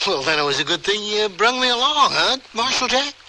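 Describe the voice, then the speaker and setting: Eager high-voiced western sidekick. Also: male voice, big pitch swings, a little fast, bright, forceful tone, heavily acted. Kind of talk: old-time radio western acting. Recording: noisy old-time radio drama recording.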